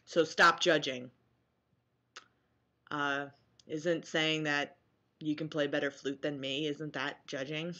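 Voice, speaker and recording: A woman in her twenties with a bright, forceful voice and an unhurried pace. She moves between casual sarcastic commentary and exaggerated, mock-dramatic reading, speaking into a webcam indoors. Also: low voice, level tone, lo-fi sound. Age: 20s. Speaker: vlogger. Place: small dim bedroom, indoors.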